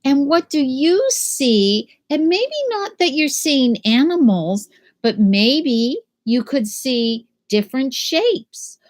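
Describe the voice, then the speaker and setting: An older woman, a school principal, reads aloud gently and slowly to young children from a small room at home on a laptop webcam. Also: high-pitched. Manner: slow, gentle and animated, like reading to young children. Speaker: school principal reading to students. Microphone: laptop webcam at desk.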